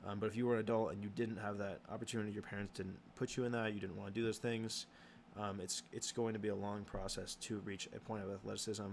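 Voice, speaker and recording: A young man hosting a casual livestream Q and A, answering chat questions in a halting way with many ums and restarts. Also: lowish voice, level tone, gravelly, slight background noise.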